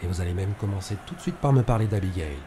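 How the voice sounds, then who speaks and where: Actor as dark-voiced, sardonic cop. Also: male voice, deep voice, lively intonation, fast talker, slight background noise. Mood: cold sarcasm. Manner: sardonic, menacing politeness turning crude. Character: cold, blunt, sardonic male police investigator. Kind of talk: audio fiction acting.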